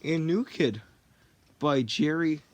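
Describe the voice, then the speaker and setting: A man's voice: on the high side, takes it slowly, noisy background. A teenage boy rambling through a story in a casual, jokey way, often stopping to correct himself. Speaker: vlogger.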